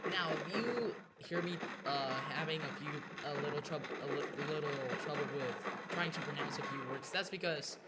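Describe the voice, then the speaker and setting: A young man from Mexico whose first language is Spanish, chatting haltingly with a Mexican Spanish accent as he narrates a gameplay livestream. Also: on the high side. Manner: halting, self-correcting, chatty. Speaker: streamer. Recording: loud background noise.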